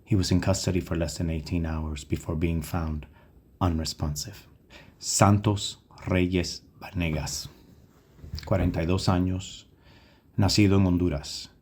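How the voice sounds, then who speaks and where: A man's voice: low-pitched, steady tone, unhurried, darkish tone, slightly rough. A man giving a scripted memorial reading. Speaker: reader in an online remembrance video.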